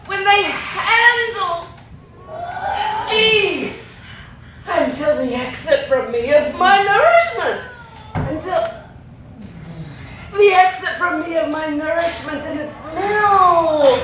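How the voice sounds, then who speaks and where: A woman performing an anguished, defiant ranting voice in drawn-out, emphatic avant-garde theatrical declamation. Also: very high voice, lively intonation, fairly bright tone, heavily acted. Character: anguished, defiant voice ranting about body and nothingness. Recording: distant, echoey stage with clear noise.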